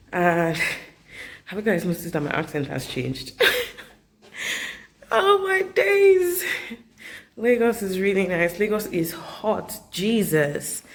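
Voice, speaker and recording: A young Nigerian woman in her twenties, chatting casually to a handheld camera as she walks through a hotel. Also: on the low side. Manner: chatty, laughs easily, slow and unhurried. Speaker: vlogger. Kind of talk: casual vlog chat to camera. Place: hotel corridors and grounds.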